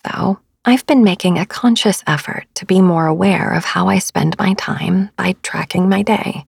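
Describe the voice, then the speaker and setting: A woman narrates a sleep meditation podcast in a hushed, soothing manner. Her voice sits a little low, with a husky grain and frequent fry at the edges. The sound is close and dry. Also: no room sound. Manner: soothing, hushed, partly whispery delivery. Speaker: podcast host.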